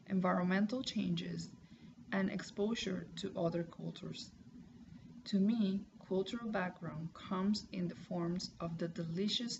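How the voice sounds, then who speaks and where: Woman in her 30s, reads her class paper slow and careful, bit of fry, dull noisy home recording. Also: mid-range voice. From Puerto Rico. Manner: careful, measured reading with pauses. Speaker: student. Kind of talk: reading a class assignment aloud.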